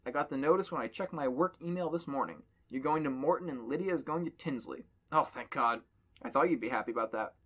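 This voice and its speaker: A man's voice: mid-range voice, fast talker, fairly bright tone, breathy edge. Man, podcast host, reads story aloud, quips between paragraphs. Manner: reads dialogue aloud, jokes between passages. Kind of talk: casual reading with banter.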